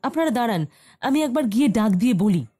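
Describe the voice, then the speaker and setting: Woman playing a mellow, lively young niece. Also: fairly high pitch, fast, slightly rough. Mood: resigned. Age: young adult. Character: sturdy young unmarried niece, apologetic. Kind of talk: audio story acting.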